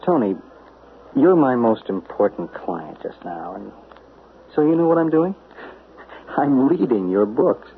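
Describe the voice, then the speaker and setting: An actor playing a calm male literary agent in a 1970s radio drama, speaking earnestly and haltingly. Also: medium pitch, slight background noise. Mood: easygoing. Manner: earnest, soft-spoken, halting. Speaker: Dick Harrison, a literary agent. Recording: tinny, lo-fi old radio broadcast.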